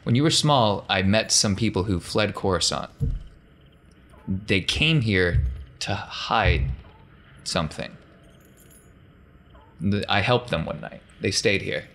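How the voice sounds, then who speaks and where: A man's voice: on the low side, animated, slow, long pauses, a bit bright, a little hiss or hum, heavily acted. A man playing a grave, blunt uncle giving urgent warnings in a tabletop game. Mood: guarded, reflective. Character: grave, urgent uncle who once sheltered fugitives.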